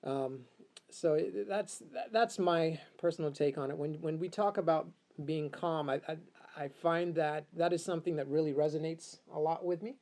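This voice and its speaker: A man's voice: a little high, fairly even intonation, normal speed, darkish tone. A middle-aged man from Uganda talking reflectively and casually as an interview guest, repeating phrases for emphasis. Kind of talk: casual personal conversation.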